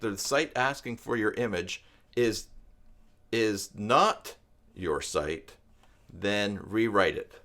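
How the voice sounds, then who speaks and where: A man hosting an informal live stream tutorial, explaining things step by step in a halting way as he thinks aloud. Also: medium pitch, a bit bright. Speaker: live stream host and web tutorial presenter. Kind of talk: informal unscripted tutorial talk to viewers.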